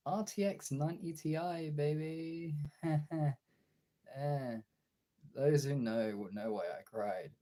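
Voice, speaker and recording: A young man with a husky voice chatting casually and informally as a livestreamer, replying to his viewers as he goes. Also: on the high side, takes it slowly, long pauses, mellow. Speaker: streamer and video creator.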